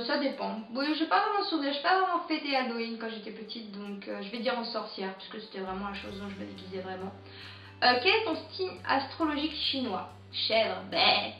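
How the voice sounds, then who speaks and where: Young French woman, casual vlogger, halting and chatty; muffled, lo-fi take with faint music behind. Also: on the high side, a bit bright. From France. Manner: chatty, many hesitant "euh" fillers. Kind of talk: casual Q&A tag video. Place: home in England. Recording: roomy, distant, dull sound with music.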